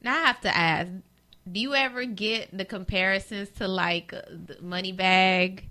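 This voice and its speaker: A woman's voice: mid-range voice, moderate pace, sharp tone. A woman co-hosting a radio interview, asking curious, teasing questions in casual chat. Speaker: radio co-host.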